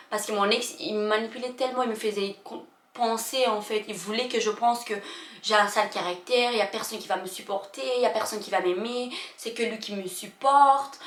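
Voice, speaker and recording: A young woman vlogger, not a native French speaker, telling a personal story in an emotional, halting way with many fillers and restarts. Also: mid-range voice, a bit bright. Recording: echoey room.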